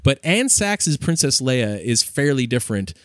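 A man speaks conversationally in a podcast discussion. His voice sits at a middle pitch with an even range, in a very dry recording. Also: moderate pace, dry recording.

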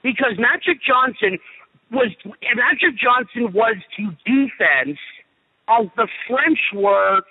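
A man's voice: very high-pitched, animated, slow, breathy edge. Blunt ranting man on a phone line. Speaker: radio host guest. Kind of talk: opinionated sports talk. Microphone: phone call. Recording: tinny phone line with long reverb.

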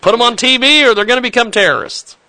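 A man's voice: very high-pitched, fast talker, bright, forceful tone. A man hosting a talk show, reading a news item with many "uh"s and a sarcastic edge. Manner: sarcastic, with filler "uh"s. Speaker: host. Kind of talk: talk-radio news commentary.

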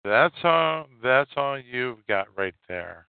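A man hosting a solo podcast, giving opinionated commentary in halting, emphatic phrases with a lot of repetition. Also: medium pitch, animated, clean tone, dry recording. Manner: coarse, swearing. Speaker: podcast host. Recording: narrow phone-like line, dry.

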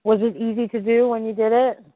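A husky-voiced host in a casual call chat, talking loosely with fillers and swearing, heard over call audio. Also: medium pitch, level tone, a little fast, no echo. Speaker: podcast host. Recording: tinny phone-like call audio, dry.

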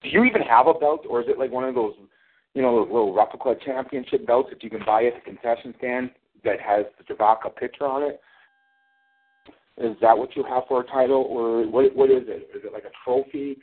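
A man's voice: medium pitch, a little fast. A man cutting a sarcastic, mocking wrestling promo, taunting his rival as champion. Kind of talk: trash-talking wrestling promo over a call. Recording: tinny phone line.

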